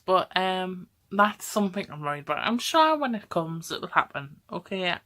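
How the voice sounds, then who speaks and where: A woman's voice: fairly low pitch, fairly bright tone. A woman, talking casually to camera in a rambling, chatty way, often tagging on "okay?" and laughing. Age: 20s. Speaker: vlogger.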